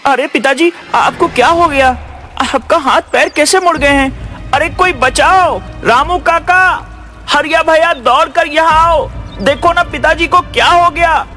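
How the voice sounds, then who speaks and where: An actor playing a cunning, boastful young farmer's son in a cartoon story, with exclamatory, persuasive delivery. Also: male voice, very high-pitched, measured pace, sharp tone, soft background music, full character voice. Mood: alarmed. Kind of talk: Hindi cartoon story dialogue.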